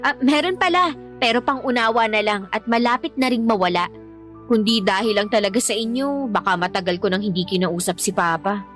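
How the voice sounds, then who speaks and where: An actress playing a frank, resentful daughter in a Filipino radio drama, exasperated and speaking with a Filipino accent. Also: female voice, medium pitch, fairly quick, fairly bright tone, faint music behind, close to an everyday voice. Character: resentful young daughter, outspoken but respectful to mother.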